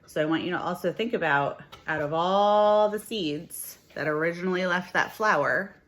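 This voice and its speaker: A woman with a slightly low voice speaks as a teacher sharing a picture book with young children. Her delivery is expressive and emphatic, built for storytelling and simple explanation. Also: normal speed.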